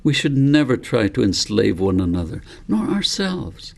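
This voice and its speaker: A man reading the part of a wise old grandfather in a storybook, calm and patient, explaining things in a measured, philosophical way. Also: mid-range voice, gravelly. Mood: firm, wise.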